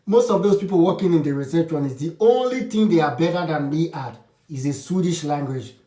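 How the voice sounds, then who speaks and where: A man with a fairly high voice speaks his mind in a frank, opinionated way, breaking off and restarting as he goes. He sits some distance from the mic, and the room answers with a noticeable echo. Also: lively intonation, fairly quick, dark tone. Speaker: vlogger. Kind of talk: casual advice talk to camera.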